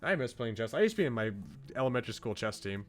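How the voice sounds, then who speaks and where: A man's voice: mid-range voice, very animated, fast, faint music behind. A man streaming a visual novel, reading it aloud with casual asides and often stumbling over lines and correcting himself.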